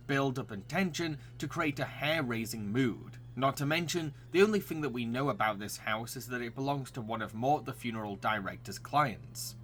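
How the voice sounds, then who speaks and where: A man's voice: medium pitch, fairly bright tone, slight background noise. A man narrating a scripted review as the presenter of a recommendation video, with enthusiasm.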